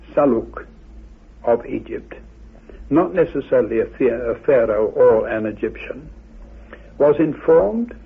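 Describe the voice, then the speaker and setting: A tinny, phone-like recording of a male expert recounting historical sources. His voice is extremely husky with a gravelly creak, and he phrases things in a halting, deliberate way. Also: medium pitch. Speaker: expert guest on ancient legends.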